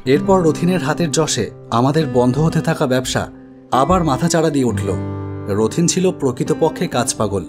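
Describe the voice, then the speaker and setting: A man's voice: medium pitch, expressive, normal speed, close to an everyday voice. Narrator-protagonist, acted by a man: earnest, uneasy, mid-range voice for horror animation, faint music behind. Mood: reflective storytelling. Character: earnest, uneasy man recounting his ghostly past. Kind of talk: dramatized story narration. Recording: music under the voice. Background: background music.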